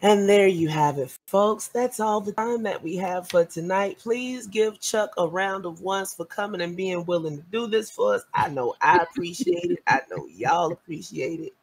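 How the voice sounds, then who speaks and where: A man's voice: sits high, lively intonation, moderate pace, few pauses. A man hosting a live stream, wrapping up in an upbeat announcer's manner and plugging the next shows. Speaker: host.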